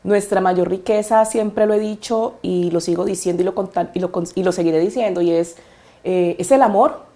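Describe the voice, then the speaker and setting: A Colombian woman from Cali talks as a podcast guest in a fairly low voice. She speaks with fondness and pauses often, her words broken by hesitations. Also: steady tone, warm tone. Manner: fond, reflective, hesitant with many fillers. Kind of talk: interview, personal storytelling.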